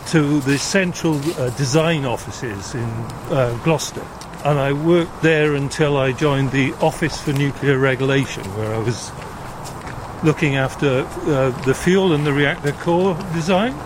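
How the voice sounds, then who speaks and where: An older man from South Wales talks as an interview guest. His voice is on the high side for a man, and he explains with measured care. Clear outdoor traffic noise runs behind him. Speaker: interview guest, retired nuclear engineer. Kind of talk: explanatory conversation. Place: outdoors walking in a gorge. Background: road traffic and outdoor ambience.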